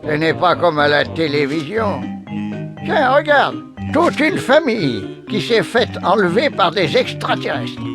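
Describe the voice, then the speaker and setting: A man voicing a gullible, excitable older father in a cartoon game, eager and credulous. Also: high-pitched, unhurried, music in the background. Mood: credulous excitement.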